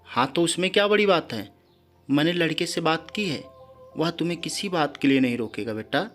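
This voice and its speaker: A man's voice: a little high, animated, a little fast, a bit bright. A man voicing a domineering middle-aged father in a story, with an insistent manner. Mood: coaxing, insistent. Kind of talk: storybook character dialogue. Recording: background music under the voice.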